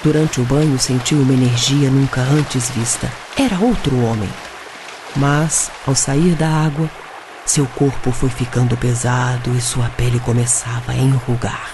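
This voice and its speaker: A man's voice: medium pitch, steady tone, a little slow, background noise. A Brazilian man narrating a scripted radio drama in a dramatic storytelling manner. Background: music.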